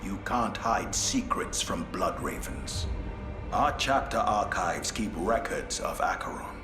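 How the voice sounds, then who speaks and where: A solemn male space marine officer from a video game. His voice is fairly low but moves with plenty of range. Loud music plays behind him. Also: expressive, slow. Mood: solemn, knowing. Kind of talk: scripted video game voice acting.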